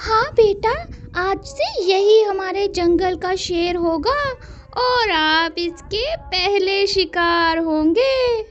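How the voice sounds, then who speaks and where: A woman's voice: very high-pitched, extremely slow, lo-fi sound, pitched above the performer's own voice, full character voice. A woman voicing a cunning, scheming female fox who sweet-talks like an auntie in a cartoon story. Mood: sly, coaxing. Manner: coaxing, sly.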